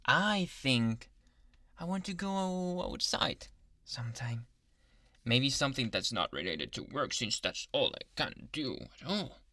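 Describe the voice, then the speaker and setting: A man voicing a possessive, obsessive yandere doctor in an anime ASMR boyfriend roleplay, speaking softly and coaxingly, often in whispers. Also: medium pitch, very animated, a little slow, no room sound, studio quality, heavily acted. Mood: affectionate, playful. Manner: soft, intimate, coaxing, often dropping into whispers. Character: possessive, obsessive male yandere doctor, sweetly menacing lover. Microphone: close microphone.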